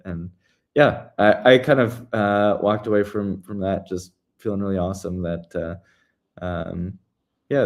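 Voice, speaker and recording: A man's voice: deep voice, a little mellow. A man co-presenting a community town hall in a casual, loosely phrased and hesitant way.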